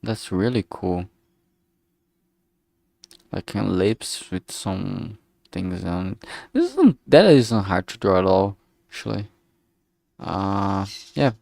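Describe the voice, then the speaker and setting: A man's voice: low-pitched, animated, slow, gravelly, pauses often, a little mellow, dry recording. A man chatting casually on a livestream, thinking aloud in a hesitant, musing way and often trailing off mid-thought. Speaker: streamer making a visual novel.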